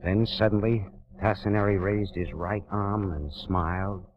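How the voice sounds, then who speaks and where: An actor playing a hard-boiled private detective who narrates in terse, dry first-person lines in a 1940s radio drama. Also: male voice, on the low side, fairly even intonation, unhurried, slight background noise, close to an everyday voice. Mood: tense. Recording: dull vintage radio recording with music.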